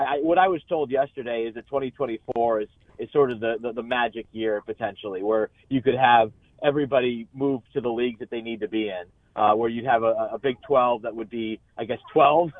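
A man comes through on a dull, band-limited line, dry and free of room sound. He speaks as a sports journalist guesting on a radio show, halting and repeating words as he lays out his analysis. His pitch sits in the middle for a man. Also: no echo. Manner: halting, repeats words, frequent "you know". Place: remote call into a radio show.

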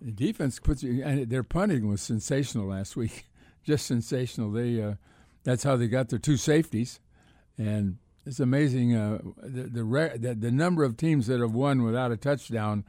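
A man's voice: mid-range voice, moderate pace, mellow, no echo. An older man with an American accent chatting about sports as a radio co-host, folksy and repeating phrases for emphasis. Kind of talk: casual sports analysis chat.

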